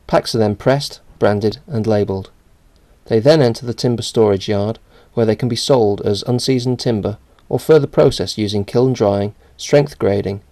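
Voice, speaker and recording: A man reading a scripted narration for a company video in an even, measured way. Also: lowish voice, gravelly.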